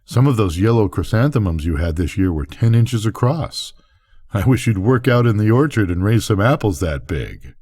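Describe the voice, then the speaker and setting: Older guy reading as a teasing easygoing rancher husband, folksy storybook voice, pitch moves around a lot. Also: big pitch swings, normal speed, a little mellow. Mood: admiring. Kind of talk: bedtime storybook character voice.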